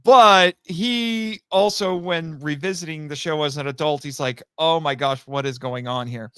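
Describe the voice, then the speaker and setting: Man, slightly higher-pitched voice, dry close sound, casual enthusiastic interview talk, chatty, self-correcting. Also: a little slow, a bit bright, clear, resonant tone, dry recording. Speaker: podcast guest, voice actor.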